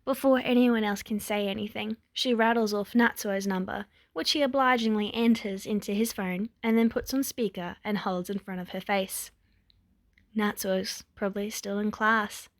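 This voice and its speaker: A woman reading a story aloud as narrator, in expressive scripted narration. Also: mid-range voice, moderate pace, hardly any pauses, no echo. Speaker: fan fiction narrator.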